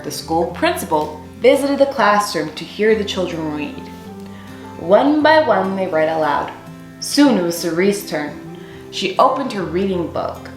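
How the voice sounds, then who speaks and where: Roomy-sounding young woman reading a storybook. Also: medium pitch. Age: young adult. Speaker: storyteller reading a children's picture book aloud. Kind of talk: expressive scripted story read-aloud. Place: small room indoors. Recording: roomy echo, faint music underneath.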